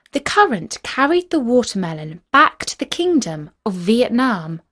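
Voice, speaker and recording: A woman narrating a folk tale as a storyteller, reading from a script in a measured, expressive storybook manner. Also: mid-range voice.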